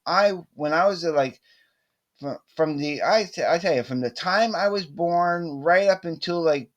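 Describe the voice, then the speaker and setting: Male, middle-aged, voice a touch high. Informal vlogger chat, halting and rambling, keen fan energy. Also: muffled recording. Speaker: vlogger showing a cassette collection. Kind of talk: casual chat to camera. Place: small indoor room with poster-covered walls.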